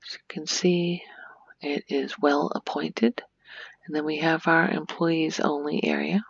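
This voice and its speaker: Female ASMR game-tour narrator: hushed, gentle, slow-paced, with gravelly fry in a soft near-whisper; dry, slightly dull close-up sound. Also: low-pitched, level tone, dry recording. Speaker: video creator giving a game tour.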